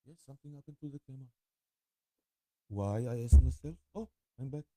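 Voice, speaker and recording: A man with an Israeli accent talking informally to camera, halting often as he pauses and repeats himself. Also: mid-range voice, mellow, no room sound, poor audio. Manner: halting, with frequent pauses and repetitions. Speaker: spiritual vlogger. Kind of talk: rambling informal talk to camera.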